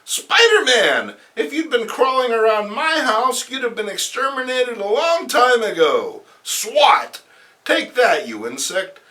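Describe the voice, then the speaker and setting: Man putting on a high, theatrical villainess. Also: very animated, a little slow, pushed and bright, full character voice. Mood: scornful fury. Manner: bossy, scornful, theatrical. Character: ruthless, gloating female criminal mastermind.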